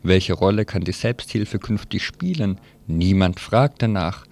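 A man's voice: low-pitched, animated, unhurried, darkish tone. A man presenting an informal live radio press review, reading aloud and dropping in loose, colloquial, wry asides.

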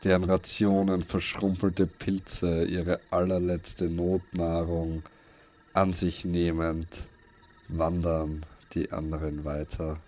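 A man reading scripted literary narration for a post-apocalyptic radio play, in deliberate, fragmented phrases that repeat words. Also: deep voice, monotone, a little mellow, a little hiss or hum.

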